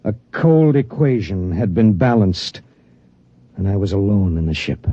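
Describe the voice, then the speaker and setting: An actor playing a lonely spaceship pilot who narrates in a grave, measured way in a 1950s radio drama. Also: male voice, fairly low pitch, animated, warm tone, slightly rough, dry recording. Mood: grim. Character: lonely male spaceship pilot, grim and weary. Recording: dull lo-fi vintage radio.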